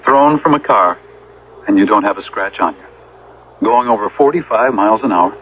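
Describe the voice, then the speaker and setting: An actor playing a calm, insistent man who probes with certainty in a radio drama. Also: fairly low pitch, a little hiss or hum, close to an everyday voice. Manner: probing, deliberate, certain. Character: calm, insistent man pressing unsettling truths. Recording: thin phone-like old radio recording.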